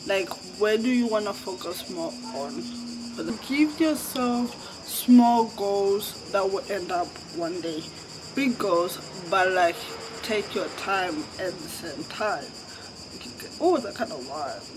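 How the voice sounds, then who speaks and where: Slow-talking high-voiced young man. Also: animated, noisy background. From Zimbabwe. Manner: rambling, candid, with frequent fillers and swearing. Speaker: vlogger. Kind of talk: casual reflective chat to camera. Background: faint crickets and insects.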